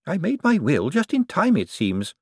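A man voicing a sharp-tongued, defiant elderly woman in an audiobook. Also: on the high side, wildly swooping pitch, normal speed, husky, dry recording, clean studio sound, pitched above the performer's own voice, full character voice. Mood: tart, defiant.

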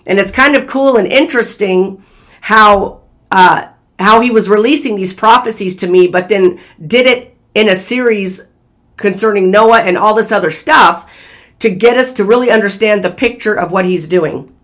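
A hoarse channel host, voice worn thin, delivers a prophetic word in an easy, conversational way. The talk stays earnest and speaks directly to the viewers. The pitch sits in a middle range. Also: normal speed, fairly bright tone. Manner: earnest, talks straight to viewers as "you guys". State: hoarse, losing voice. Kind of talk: casual spoken prophecy to viewers.

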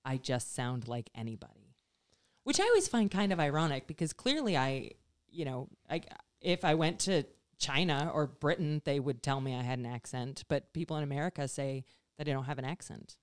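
A woman's voice: low voice, expressive, moderate pace, clear, resonant tone, no room sound, studio quality. A woman co-hosting a podcast in a plain, neutral delivery, curious and full of follow-up questions. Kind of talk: casual podcast chat.

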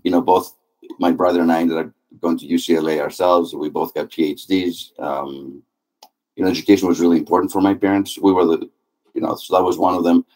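A man's voice: sits low, fairly even intonation, a little fast, darkish tone, low-quality recording. A middle-aged man from Greece, a guest on an interview podcast, answering in a friendly, talkative way with frequent fillers. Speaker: guest, happiness author and speaker.

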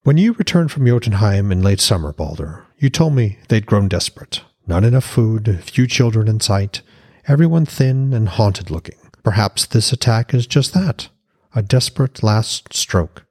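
A man's voice: lowish voice, expressive, moderate pace, darkish tone, slightly rough, pitched above the performer's own voice. A man voicing a composed, guarded Norse goddess queen with a careful, reserved manner, in a fantasy audiobook. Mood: thoughtful.

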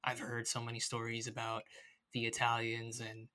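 A man co-hosting a podcast, speaking in a thoughtful, measured way in casual conversation. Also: mid-range voice, completely flat delivery, sharp tone. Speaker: podcast co-host.

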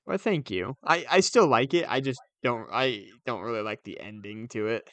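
A man's voice: medium pitch, moderate pace, a bit bright, no echo. A man co-hosting a podcast in casual, conversational chat. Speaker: podcast co-host.

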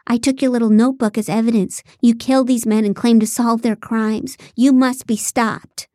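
A woman's voice: fairly high pitch, fairly even intonation, normal speed, warm tone, no echo, clean studio sound, lightly acted. A woman voicing a frantic, vengeful woman who hurls accusations at a killer in an audiobook. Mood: furious, frantic.

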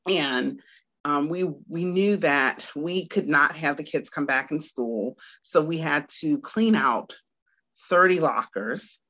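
A woman from Washington, D.C., a Montessori teacher, answering interview questions in an unhurried way with frequent pauses. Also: low-pitched, a bit bright, low-quality recording. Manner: unhurried, with many "um" pauses and small laughs.

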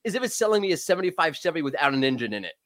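A man's voice: fairly high pitch, sing-song, fairly bright tone. Man in his thirties with a cold. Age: 30s. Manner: emphatic, quick, frequent self-restarts. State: has a cold, voice cracking. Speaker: podcast guest, SEO expert. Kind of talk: casual expert discussion.

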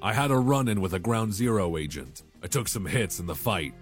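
An actor playing a guarded, condescending armored soldier in a sci-fi audio drama, with a dry, curt manner. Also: male voice, on the low side, expressive, brisk, soft background music, lightly acted. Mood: guarded, defiant.

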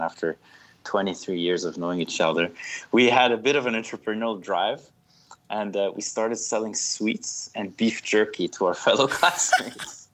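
Low-ish swiss guy in his 30s, laid back, chatting as a podcast guest with lots of ums. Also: low-quality recording. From Switzerland. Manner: relaxed storytelling with fillers and laughter. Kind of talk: casual interview.

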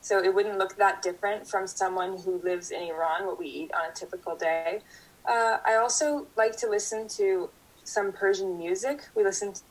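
A young woman from Canada, a guest answering interview questions in a steady, thoughtful way. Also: medium pitch, moderate pace, low-quality recording. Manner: thoughtful, steady, speaks in full sentences.

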